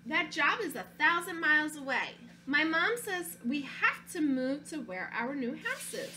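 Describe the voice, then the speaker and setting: A woman teacher reading a storybook aloud to young children, gently asking them questions between pages. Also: high voice, expressive, measured pace, very noisy. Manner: gentle, asks children questions, sings a short song.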